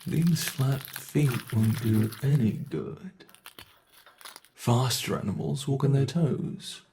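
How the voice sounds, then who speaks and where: A man voicing a sadistic male monster in an ASMR horror roleplay, talking in a slow, taunting way that relishes cruelty. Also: mid-range voice, noisy background. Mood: mocking.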